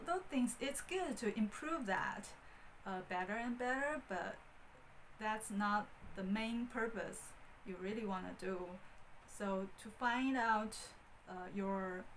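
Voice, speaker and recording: A woman in her thirties with a Mandarin Chinese accent talks slowly to camera, pausing often and filling gaps with ums. She holds a wired mic in a small room. A faint hiss sits under her voice. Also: medium pitch, rough recording. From Beijing, China. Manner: hesitant, many fillers and restarts. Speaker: vlogger. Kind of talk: casual talk to camera. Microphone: wired handheld mic.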